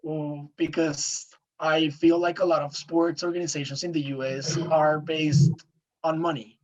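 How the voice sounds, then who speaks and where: A man's voice: a little high, lo-fi sound. A man from Colombia whose first language is Spanish, speaking with a Colombian accent as a podcast guest, earnest and searching for words with many "eh" fillers. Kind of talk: casual interview talk.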